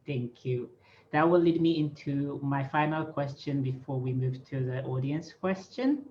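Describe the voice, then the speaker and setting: A man's voice: mid-range voice, unhurried, a little mellow. A man in his thirties moderating a formal online interview as host, speaking into a headset on a video call. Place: small office, on a video call. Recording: dull, noisy video-call audio.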